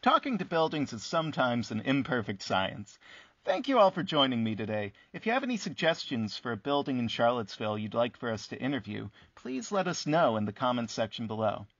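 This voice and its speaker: Husky, wry radio host. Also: male voice, mid-range voice, very animated, fairly quick, fairly bright tone. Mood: earnest. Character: earnest, wry male host who interviews buildings. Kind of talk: radio play acting as interview host. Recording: echoey, muffled sound.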